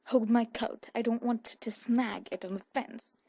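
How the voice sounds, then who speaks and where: A woman narrator voicing a bossy, eccentric male detective in a story, brisk and offhand in manner. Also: fairly high pitch, fairly even intonation, vocal fry, warm tone, slightly rough, exaggerated voice. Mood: brisk, dismissive.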